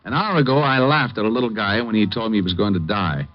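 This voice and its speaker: An actor playing a cool, flirtatious private detective who also narrates in the first person, in a 1950s radio drama with a dry, wry delivery. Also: male voice, fairly low pitch, fast, close to an everyday voice. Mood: narrating. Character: wisecracking male private eye, cool and flirtatious. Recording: dull old radio broadcast with music.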